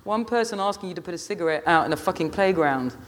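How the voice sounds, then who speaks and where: Man playing an insistent, scornful woman, high-pitched. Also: expressive, fast talker, fairly bright tone, slight background noise. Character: combative, sarcastic woman lawyer, a mother.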